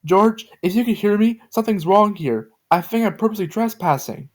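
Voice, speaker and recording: A man voicing a frightened young girl who pleads in a high, shrill voice. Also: expressive, brisk. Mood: alarmed.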